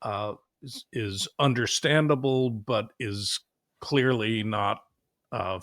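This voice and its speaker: A man hosting a podcast, asking questions in a halting way with many fillers as he searches for words. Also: on the low side, a bit bright, no echo, studio quality. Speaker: podcast host. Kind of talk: interview questions.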